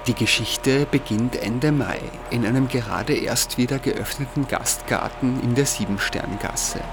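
Austrian man narrating, medium-pitched voice. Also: a little slow, noisy background. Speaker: radio show host. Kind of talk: scripted radio narration.

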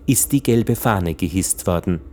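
Radio play: ship's chronicler, fairly deep, grave, matter-of-fact diary delivery. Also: male voice, animated, measured pace, a little mellow. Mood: grave, factual. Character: grave male chronicler of a ship in lockdown. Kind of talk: radio play log narration.

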